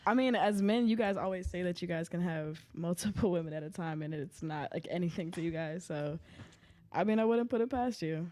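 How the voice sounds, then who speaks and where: A woman's voice: on the low side, fairly quick, dark tone. A twenty-one-year-old woman chatting casually as a podcast guest. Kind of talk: casual chat.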